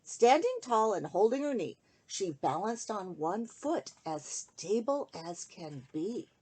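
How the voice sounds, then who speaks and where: A woman reading a rhyming children's story aloud in an expressive, sing-song way, speaking directly to young listeners. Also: medium pitch, slow pace, husky.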